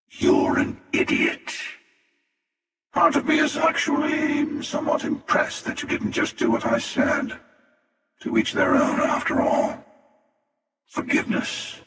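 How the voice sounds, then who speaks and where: An actor playing a cynical, confident older space villain in a video game, wry and taunting, with a gravelly voice. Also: male voice, extremely high pitch, a bit bright, heavily acted. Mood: wry, measured. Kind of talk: video game character voice lines. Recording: very echoey hall reverb, distant.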